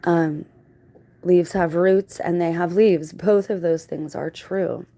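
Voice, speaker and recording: Lower-voiced woman rambling to viewers. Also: steady tone, slow pace, warm tone. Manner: reflective, digressive, explanatory. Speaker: video channel host. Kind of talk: casual rambling monologue to viewers.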